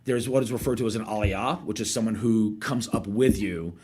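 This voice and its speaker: A man's voice: fairly low pitch, brisk, darkish tone, slightly rough. A man in his forties from the United States, a podcast guest telling a long story in a chatty, digressive way and laughing at his own asides. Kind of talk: casual storytelling conversation.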